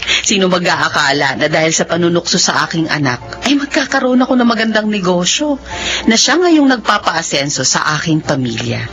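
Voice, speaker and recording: A woman voicing a grateful mother who narrates her life story in a radio drama, in a warm, reflective manner. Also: lowish voice, sing-song, moderate pace. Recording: dull sound with music bed.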